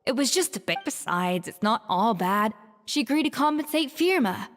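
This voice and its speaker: A woman's voice: a little high, expressive, moderate pace, long reverb tail, lightly acted. A woman playing a principled head chef in a game, stern but fair, speaking in a composed, firm, formal manner. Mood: resigned. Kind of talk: game voice acting.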